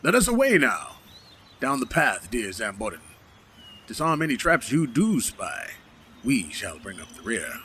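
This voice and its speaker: A man's voice: medium pitch, huge pitch swings, unhurried, pushed and bright, slight background noise, exaggerated voice. A man playing a pompous, clumsy paladin with theatrical mock-archaic speech in a fantasy comedy audio drama. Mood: grand, eager. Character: pompous, clumsy male paladin knight, bumbling and boastful.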